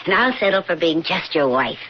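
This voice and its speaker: Teasing wife in noisy radio drama. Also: female voice, lowish voice, lively intonation, fairly quick. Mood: playful. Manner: playful, bantering. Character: teasing, affectionate lawyer wife. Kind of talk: 1980s radio drama acting. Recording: muffled, noisy old radio broadcast.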